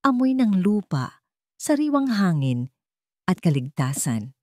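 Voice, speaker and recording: A woman's voice: lowish voice, sing-song, slow, darkish tone, no echo, clean studio sound. A woman with a Filipino accent reading a scripted romance story as a dramatic narrator.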